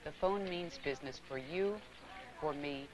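A middle-aged actress playing a courteous, instructive receptionist in a scripted 1980s training film. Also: female voice, deep voice, normal speed, close to an everyday voice, poor audio. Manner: polite, clearly instructive. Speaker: receptionist and narrator. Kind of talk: scripted training-film narration and acted dialogue. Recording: 1980s film soundtrack, faint music.

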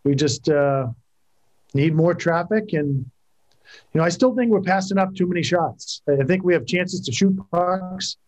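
A man's voice: sits high, a little slow, darkish tone, rough recording. A man in his forties, a hockey coach answering postgame press questions over a laptop video call from his office, plain-spoken with frequent restarts and fillers. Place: office with cinder-block walls.

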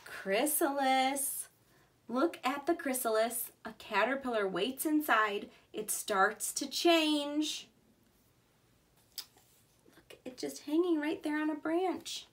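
High-voiced woman teacher reading to little children. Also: expressive, slow. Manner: simple, clearly emphasized words for small children. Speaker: preschool teacher. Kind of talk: read-aloud for young children.

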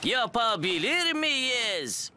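A man playing a cheerful builder who leads his crew in an animated, encouraging way in a children's cartoon. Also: extremely high pitch, drawn-out words, slight background noise, exaggerated voice. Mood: upbeat. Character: cheerful male builder, upbeat crew leader. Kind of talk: children's cartoon dub acting.

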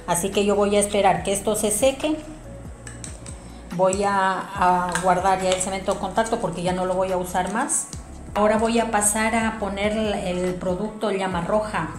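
A woman presents an informal craft tutorial, talking straight to viewers as she explains the steps. Her voice is mid-pitched and echoes in a domestic room, with music playing behind her. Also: a little mellow. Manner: explanatory, addressing viewers directly. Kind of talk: informal hands-on tutorial narration. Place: small domestic room indoors. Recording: roomy echo, music behind.

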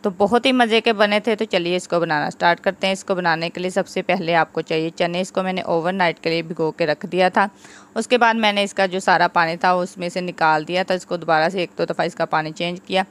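Woman, slightly low voice, chatty step-by-step cooking instructions. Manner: chatty, instructive, repeats "aap logon ne". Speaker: cooking channel host. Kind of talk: home cooking tutorial voice-over. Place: home kitchen.

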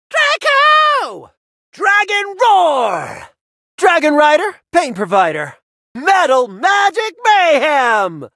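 Brash dragon-riding knight, video game battle lines, heavy-metal bombast, wildly swooping pitch, shouted. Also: dry recording, clean studio sound, full character voice. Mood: triumphant, roaring. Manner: bombastic, theatrical, drawn-out battle cries.

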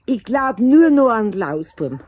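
A woman playing a homely, plaintive old lady with a Bavarian accent and a husky voice in a 1970s children's radio play. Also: fairly high pitch, big pitch swings, normal speed, darkish tone, no room sound, muffled recording. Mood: amused, stubborn. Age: older.

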